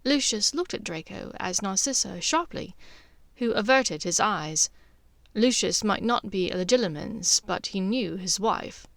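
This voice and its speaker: A woman's voice: mid-range voice, animated, a little slow, gravelly, fairly bright tone, no room sound. A woman reading scripted story narration as an audiobook narrator.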